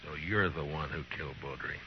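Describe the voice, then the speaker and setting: An American actor playing a stern, world-weary frontier marshal in an old radio western, terse and commanding. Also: male voice, a bass voice, a little fast, husky, full character voice. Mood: pressing, stern. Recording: muffled vintage radio recording, music behind.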